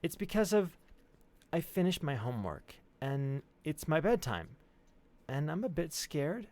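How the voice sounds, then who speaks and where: Man voicing an earnest little boy, high-pitched. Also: very animated, measured pace, a little mellow. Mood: earnest, slightly scared. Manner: earnest, thoughtful, careful. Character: serious, precocious, slightly scared young boy. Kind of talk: storybook read-aloud.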